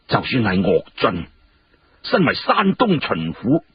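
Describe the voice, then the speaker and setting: A man voicing an earnest, formal court official who argues in emphatic, drawn-out phrases in historical storytelling. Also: lowish voice, wildly swooping pitch, no room sound, full character voice. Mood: earnest, critical. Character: earnest, formal court official arguing carefully before his emperor. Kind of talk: Cantonese historical storytelling dialogue. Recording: muffled, clearly noisy radio-like recording.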